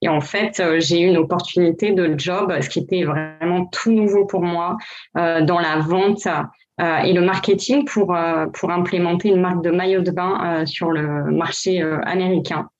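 A woman's voice: fairly low pitch, fairly even intonation, moderate pace, darkish tone. A woman in her thirties, a guest in a casual interview, talking with many hesitations and fillers. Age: 30s. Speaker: podcast guest, brand founder. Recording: dull lo-fi sound.

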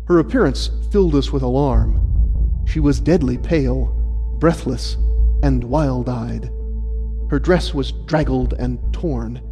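A man's voice: medium pitch, unhurried, dark tone. A man reading a scripted story as an audiobook narrator in a reverberant recording. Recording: reverberant hall, faint music.

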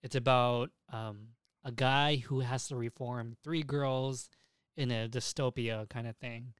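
A man's voice: medium pitch, fairly even intonation, measured pace, clear, resonant tone, no echo, studio quality. A young adult man hosting a casual podcast, chatting in an enthusiastic, informal way with plenty of swearing. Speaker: podcast host.

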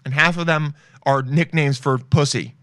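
A man's voice: fairly high pitch, fairly even intonation, normal speed, a bit bright, no echo. A young man hosting a casual podcast, rambling and joking as he browses shopping sites and reads reviews aloud. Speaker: podcast host.

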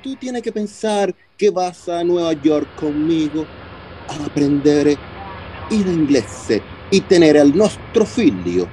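Un actor haciendo de enamorado italiano, melodioso y pausado. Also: male voice, a little high, sing-song, mellow, slight background noise. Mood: reassuring. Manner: mixes Italian words into Spanish. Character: doting Italian immigrant man, reassuring lover. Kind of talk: radio soap opera acting.

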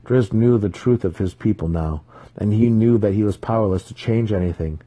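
A man's voice: on the low side, moderate pace, warm tone, no room sound. A man narrating a fantasy audiobook in a dramatic storytelling style.

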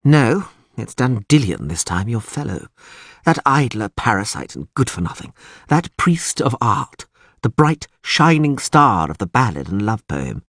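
A man's voice: medium pitch, very animated, measured pace, a bit bright, no echo, studio quality, heavily acted. Man voicing a stern, scornful older priestess. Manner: scornful, sarcastic. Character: stern, peevish older priestess, sharp-tongued woman.

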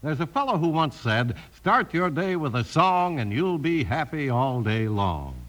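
A man's voice: fairly high pitch, big pitch swings, a little slow, over music. A man announcing and narrating an old radio western in a polished, dramatic, scripted 1950s style.

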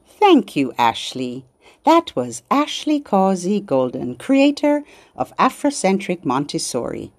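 A woman's voice: mid-range voice, huge pitch swings, takes it slowly. A woman hosting a children's show, presenting in simple phrases for babies and toddlers. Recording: music behind the voice. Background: music.

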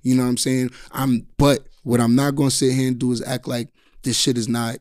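A rapper with a deep voice, talking in laid-back slang during a casual podcast conversation. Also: male voice, fairly quick, dry recording, studio quality. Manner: laid-back slangy talk, steady flat delivery. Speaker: rapper guest.

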